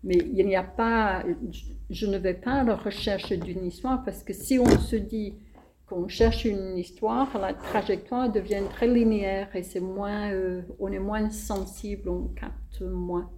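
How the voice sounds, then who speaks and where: A playwright speaks with a non-native French accent, slowly and deliberately. Her voice is warm and mellow, and she often pauses to find the next word. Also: medium pitch, steady tone, slight background noise, poor audio. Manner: hesitant, thoughtful, many pauses. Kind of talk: interview answers.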